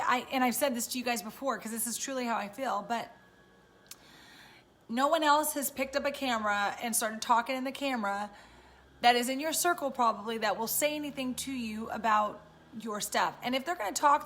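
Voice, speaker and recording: A woman with a raspy voice, chatting casually and encouragingly to viewers on a live stream from home. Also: a little high, a little fast, a bit bright. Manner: chatty and encouraging, many fillers. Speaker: live-stream host and business coach.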